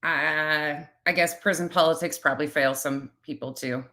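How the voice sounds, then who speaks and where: A woman's voice: sits low, steady tone, moderate pace, sharp tone, a little hiss or hum. A woman giving casual reaction commentary as a channel host, blunt and sarcastic, with swearing.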